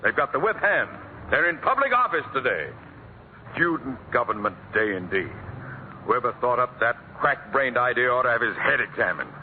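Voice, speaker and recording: An actor playing a blustering, pompous middle-aged school principal in a 1940s radio comedy, barking out orders. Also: male voice, mid-range voice, big pitch swings, normal speed, close to an everyday voice. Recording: tinny old radio broadcast with hiss.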